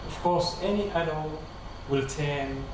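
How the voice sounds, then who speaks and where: A man on a lecture panel answering a question with a hesitant manner, pausing on many fillers. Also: fairly high pitch. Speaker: co-researcher on the panel. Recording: echoey hall from far off, noisy.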